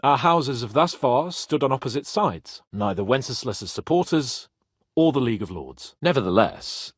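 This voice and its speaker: An actor playing a haughty, hot-headed young nobleman in a video game, pompous and sharp-tongued. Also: medium pitch, big pitch swings, normal speed, breathy edge, no room sound, clean studio sound. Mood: formal, diplomatic.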